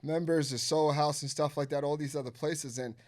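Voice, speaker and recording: A man's voice: on the high side, fairly even intonation, fairly quick. Loose, swearing Nigerian-born man with American accent. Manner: loose and profane, heavy on filler phrases. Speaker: podcast guest. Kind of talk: casual conversation.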